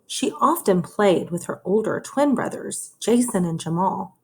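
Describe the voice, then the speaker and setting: A woman reading a children's story aloud in a measured, expressive way, as a teacher would for young listeners. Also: mid-range voice, slight background noise. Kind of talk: children's read-aloud narration.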